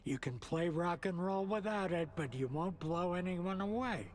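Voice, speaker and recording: A man's voice: high-pitched, expressive, normal speed, slight background noise. An actor playing a salty-tongued old rocker and seer in a video game, grandfatherly and cryptic, with a folksy, portentous way of talking. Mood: cryptic, approving. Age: older. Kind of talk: dramatic game cutscene acting.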